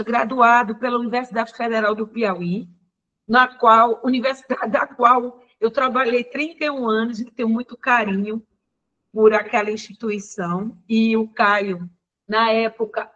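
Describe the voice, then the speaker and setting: An older woman professor introduces a guest speaker over a video call, speaking in a halting way with frequent fillers and self-corrections. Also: fairly high pitch, fairly bright tone, dull audio. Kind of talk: formal introduction.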